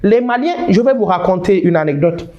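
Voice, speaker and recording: A man's voice: high-pitched, animated, brisk, warm tone, muffled recording. Fervent man in his thirties. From Niger. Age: 30s. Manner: emphatic, restarts words often. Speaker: guest. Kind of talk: impassioned interview answers.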